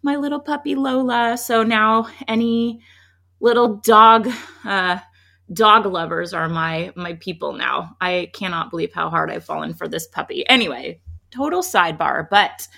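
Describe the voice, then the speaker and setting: Creaky, bright woman hosting a podcast. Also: medium pitch, unhurried. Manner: chatty, effusive, emphatic. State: under the weather, slightly scratchy voice. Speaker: podcast host. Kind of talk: upbeat conversational podcast intro and interview.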